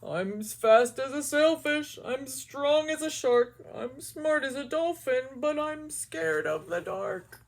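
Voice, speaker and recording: Woman doing a loud, earnest little boy fish. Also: high-pitched, expressive, slow pace, few pauses, pitched above the performer's own voice, heavily acted. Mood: earnest, fearful. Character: earnest worried male fish hero.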